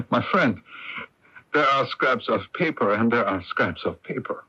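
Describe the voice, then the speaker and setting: An actor playing an urbane, persuasive German count in a radio drama, insistent and grandly assured. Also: male voice, mid-range voice, animated, slow pace, sharp tone, slightly rough, full character voice. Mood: insistent, scornful. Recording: muffled AM radio broadcast tape.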